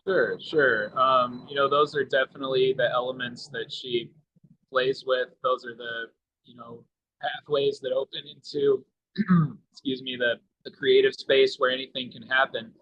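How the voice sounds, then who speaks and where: Male literary translator, bright clear-edged tone, little pitch movement, measured and tentative in panel discussion. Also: steady tone, lo-fi sound.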